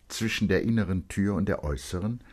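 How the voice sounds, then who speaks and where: A man with a deep, husky voice narrates a literary story for radio. His delivery is expressive and full of suspense, shaped as dramatic storytelling. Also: brisk. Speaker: narrator, reader.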